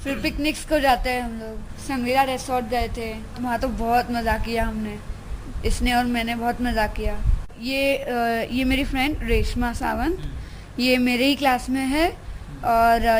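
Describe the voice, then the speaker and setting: High-voiced young female student, noisy recording. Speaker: interviewee, music student and singer. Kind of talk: casual chat about friends. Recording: noisy location with ambient sound.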